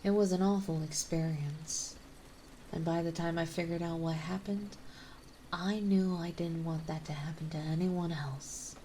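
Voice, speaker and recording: A woman playing a gentle yet possessive, dominant vampire mistress in an ASMR roleplay, speaking softly and soothingly, often in a breathy whisper. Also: lowish voice, fairly even intonation, a little hiss or hum, close to an everyday voice. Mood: somber, hushed. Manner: soothing, coaxing, slow and deliberate.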